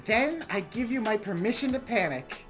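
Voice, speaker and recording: Female performer as reassuring professor, video game, formal, calm, music behind. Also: fairly high pitch, moderate pace, natural voice. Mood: calm, cautioning. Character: calm, reassuring professor urging students not to panic. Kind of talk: amateur game character voice acting.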